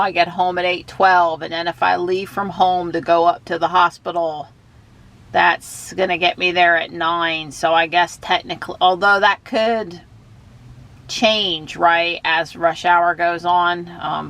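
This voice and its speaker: A woman vlogging from behind the wheel of her car, chatting and thinking out loud. She has a stuffy nose from allergies. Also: lowish voice. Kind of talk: casual thinking aloud while driving. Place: inside a car while driving.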